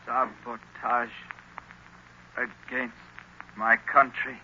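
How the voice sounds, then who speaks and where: An actor in an old-time radio drama playing a distressed young lieutenant under a hypnotic spell, halting and stammering. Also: male voice, medium pitch, flat delivery, bright, forceful tone, exaggerated voice. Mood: dazed, broken. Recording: noisy, narrow-band vintage radio recording.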